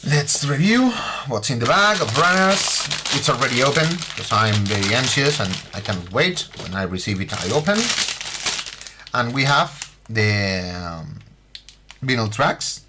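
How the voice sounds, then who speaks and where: A man from Spain, speaking English with a Spanish accent, hosts a model-building tutorial in a halting way with many fillers. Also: mid-range voice, sing-song, very noisy. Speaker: scale model hobby host. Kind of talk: unboxing tutorial.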